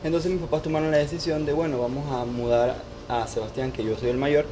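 A man's voice: on the high side, fairly even intonation, a little fast, background noise. A man, chatting casually and telling personal stories as an interview guest. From Panama, born in Costa Rica. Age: 20s. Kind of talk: casual conversation, personal storytelling.

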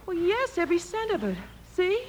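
A woman's voice: very high voice, sing-song, moderate pace. A woman playing an anxious young lady traveller in a radio western, with an American accent and an earnest, proper way of speaking. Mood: worried. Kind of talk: old-time radio western acting. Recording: noisy vintage radio recording.

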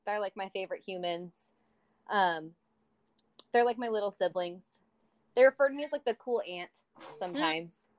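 Young woman, twenties, teacher, casual chat, animated retelling. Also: medium pitch, normal speed, long pauses, rough recording. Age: 20s. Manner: chatty, animated storytelling with acted-out quotes. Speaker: high school English teacher. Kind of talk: casual conversation.

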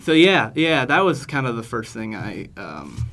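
A man chatting informally as a musician guest in a podcast interview, with a hesitant, halting delivery. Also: mid-range voice, very animated, a bit bright. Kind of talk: casual podcast interview chat.

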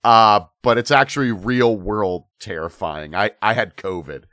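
A hoarse man narrating a tabletop horror game as game master, in a relaxed, conversational way. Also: on the low side, measured pace, dry recording, clean studio sound. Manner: relaxed, conversational, filler-heavy.

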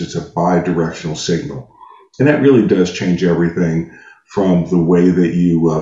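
A man with a deep, bright voice gives methodical technical explanations as an interview guest. He talks conversationally and reaches for everyday comparisons. The recording is noticeably roomy. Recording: dull, roomy sound.

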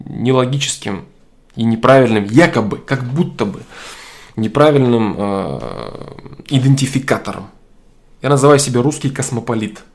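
A man speaks in a middle-pitched voice, pressing his views in a long monologue. He says his key phrases twice for weight and breaks up his sentences with frequent hesitant fillers. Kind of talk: opinionated political monologue.

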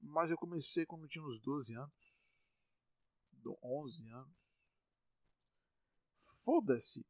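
A man's voice: a little high, takes it slowly, long pauses, dry recording. Bahian man, twenties, loose rambling podcast monologue, profane and self-mocking, dry close sound. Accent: Bahian Brazilian Portuguese. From Salvador, Brazil. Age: 20s. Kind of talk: casual monologue.

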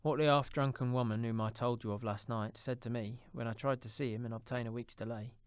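Storybook husband, played by a man: young, gentle, relieved, earnest, mid pitch, dry recording. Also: fairly even intonation, fairly quick, clean tone, no room sound, close to an everyday voice. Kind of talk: audiobook character voice.

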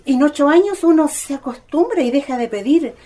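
A woman's voice: sits high, animated, a little fast, warm tone. An actress playing a tender, earnest middle-aged mother and devout daughter in a radio drama. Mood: worried. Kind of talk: Spanish-language radio drama acting.

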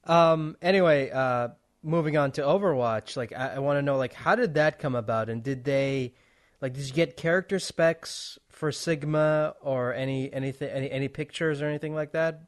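A man's voice: on the high side, normal speed. A man interviewing a guest, asking casual questions that are hesitant and full of fillers.

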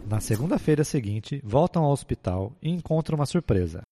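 A man's voice: mid-range voice, big pitch swings, a little fast, dark tone, a little hiss or hum. A man with a Brazilian accent, hosting a podcast and narrating a scripted story as a nursing professor. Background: music.

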